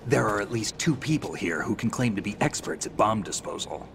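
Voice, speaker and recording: An actor playing a gruff male soldier, calm, curt and commanding. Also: lowish voice, a little fast, a little hiss or hum.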